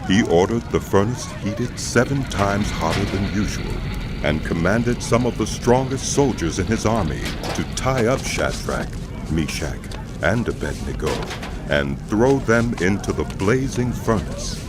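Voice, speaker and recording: A man's voice: fairly low pitch, lively intonation, unhurried, noisy background. A man narrating a dramatized audio Bible with solemn, emphatic storytelling.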